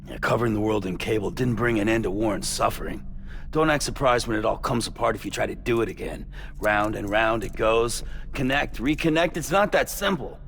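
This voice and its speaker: Gruff, gravelly loner porter. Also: male voice, a little fast, a bit bright, slight background noise. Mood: heated, cynical. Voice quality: low, gravelly. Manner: weary, blunt, reluctant. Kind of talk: cinematic video game acting.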